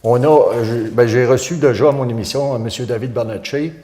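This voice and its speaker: A man's voice: medium pitch, fairly even intonation, fairly quick, dark tone, reverberant. A man, a volunteer radio host answering interview questions with many hesitations and restarts. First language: French.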